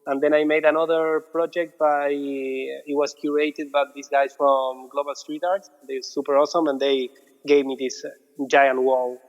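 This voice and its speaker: A man in his thirties from Argentina with an Argentine Spanish accent, chatting casually as an interview guest, relaxed and repeating his words. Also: on the high side, moderate pace. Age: 30s. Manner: relaxed, repeats words, non-native phrasing. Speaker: guest, muralist. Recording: dull audio with long reverb.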